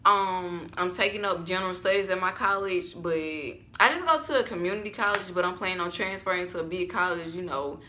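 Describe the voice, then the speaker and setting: An eighteen-year-old woman chats in an upbeat, easygoing way. Her voice has a bright, forward tone. She is recorded on a camera mic in a small room at home. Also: lowish voice, a little fast, hardly any pauses, slight background noise. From Alabama, US. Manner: upbeat, chatty. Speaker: vlogger. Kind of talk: casual chat.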